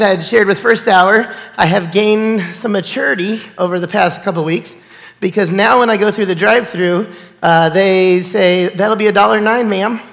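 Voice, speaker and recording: Hoarse, gravelly nasal pastor. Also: male voice, high voice, fairly bright tone. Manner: chatty, conversational preaching with fillers. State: hoarse, recovering from illness. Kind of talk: sermon. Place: church sanctuary. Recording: church hall with long reverb.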